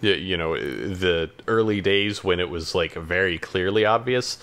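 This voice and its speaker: Low-voiced man chatting casually. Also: lively intonation, a little slow, a bit bright, clear, resonant tone. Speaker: podcast co-host. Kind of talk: casual chat.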